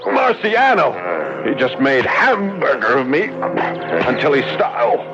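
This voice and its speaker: A man's voice: mid-range voice, huge pitch swings, slow. An actor playing a hapless young man in a radio drama, wry and hesitant, stammering a little. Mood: wry, rueful. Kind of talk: radio drama acting and narration. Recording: dull old radio recording, loud music behind.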